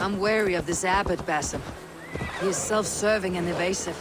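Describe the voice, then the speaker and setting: A woman's voice: lowish voice, brisk, background noise, lightly acted. An actress playing a blunt, wary Viking warrior woman in a video game, terse and dry in manner. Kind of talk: dramatic video game acting.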